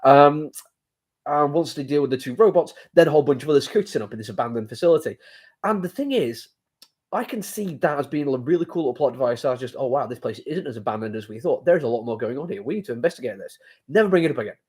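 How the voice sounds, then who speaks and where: A man's voice: medium pitch, expressive, fast talker, a little mellow, husky. A man with a cold and a sore throat, casually reviewing a comic book. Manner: rambling, hesitant with many fillers. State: has a cold, sore throat, blocked sinuses.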